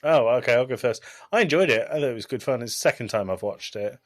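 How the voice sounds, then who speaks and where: A man's voice: mid-range voice, expressive, quick pace, dry recording, clean studio sound. A man co-hosting a casual review podcast, chatting conversationally with wry understatement. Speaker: podcast co-host. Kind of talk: casual panel chat, film review.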